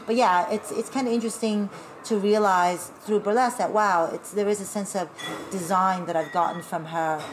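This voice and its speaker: A woman from Taiwan who grew up speaking Taiwanese, talking as a guest in a casual interview, animated and chatty with laughing asides. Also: medium pitch, moderate pace, a little hiss or hum, rough recording. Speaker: guest, burlesque performer.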